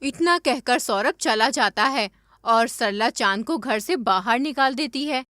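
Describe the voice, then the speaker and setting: A woman's voice: fairly high pitch, animated, unhurried, a bit bright, no echo, studio quality. A woman reading scripted story narration for a cartoon.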